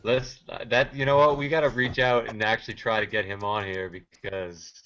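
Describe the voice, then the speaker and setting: A man's voice: on the low side, steady tone, normal speed, fairly bright tone, highs cut off, slight background noise. A man from Cape Cod who jokes around easily and laughs a lot as he co-hosts a casual sports chat. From Cape Cod, Massachusetts. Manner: easygoing, jokey, laughs often. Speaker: co-host.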